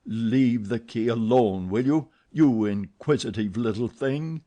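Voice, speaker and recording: A man's voice: medium pitch, sing-song, measured pace, mellow, slightly rough. A man voicing an angry, jealous masked man who snarls commands and mockery in an audiobook. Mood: menacing. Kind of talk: audiobook character voice.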